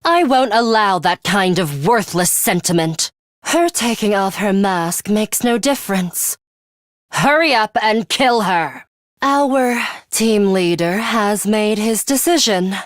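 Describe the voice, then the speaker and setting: A woman voicing a coolly pragmatic, blunt young female fighter in a video game, speaking in a measured, serious, clipped way. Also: mid-range voice, animated, dry recording, clean studio sound. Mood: commanding, then composed. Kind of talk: English video game voice acting.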